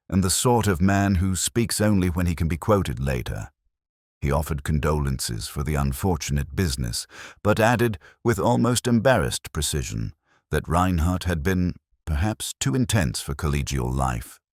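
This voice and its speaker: A man with an extremely low voice reads a first-person detective mystery in a measured, reflective way. His intonation rises and falls with lively expression. The sound is close and dry, with no room around it. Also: no room sound. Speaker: narrator.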